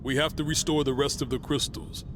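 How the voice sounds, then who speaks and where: An actor playing a resolute, brooding warrior in a video game, speaking in a terse and earnest way. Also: male voice, fairly even intonation, fairly quick, natural voice. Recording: rumbling engine-like noise behind.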